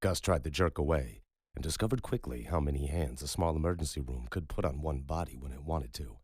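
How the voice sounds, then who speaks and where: A man narrating a dark thriller audiobook in a measured, moody storytelling manner. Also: rumbling low, animated, slightly rough, no room sound, studio quality.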